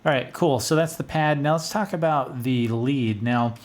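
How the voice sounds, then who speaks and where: A man's voice: mid-range voice, moderate pace. A middle-aged man giving casual, informal commentary as a video host, rambling and thinking aloud into a close studio mic. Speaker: music producer and video host. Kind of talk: casual screen-share commentary. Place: small home studio room, indoors. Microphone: studio condenser on boom arm, close.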